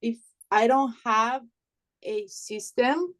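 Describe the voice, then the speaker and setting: A woman from Romania, raised in Italy and by birth, speaks slowly and unhurried on a video call. She is earnest and hesitant as a workshop participant, pausing often and starting her sentences again. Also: on the high side. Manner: earnest, hesitant, many fillers and restarts. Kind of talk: casual chat.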